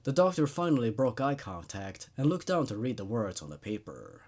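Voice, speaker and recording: A man's voice: mid-range voice, big pitch swings, normal speed, darkish tone. A man reading a horror story aloud as host, in a dramatic narrating style.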